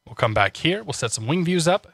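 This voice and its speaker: A man's voice: a little high, big pitch swings, a little fast, vocal fry, a bit bright, dry recording, studio quality. A man streaming a flight sim, chatting casually with viewers, with a slightly congested voice and many restarts. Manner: chatty, frequent restarts, laughs often. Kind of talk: casual live-stream chat.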